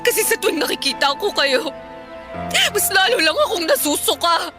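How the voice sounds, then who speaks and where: An actress playing a bitter, grieving young Filipina older sister in a radio drama, sarcastic and confrontational. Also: female voice, extremely high pitch, expressive, normal speed, over music. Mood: disgusted.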